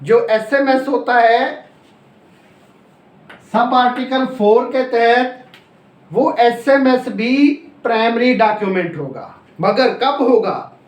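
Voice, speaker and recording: A man's voice: very high-pitched, takes it slowly. A man with a Pakistani accent giving a law lecture, explaining step by step, repeating points, asking and answering his own questions and mixing in English legal terms. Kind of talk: classroom lecture, explaining and dictating notes. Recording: echoey, roomy sound.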